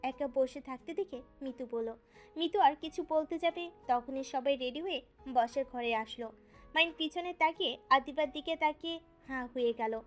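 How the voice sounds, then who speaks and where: A high-pitched woman narrates a romantic audio story in a scripted storytelling style. Music plays behind her voice. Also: normal speed.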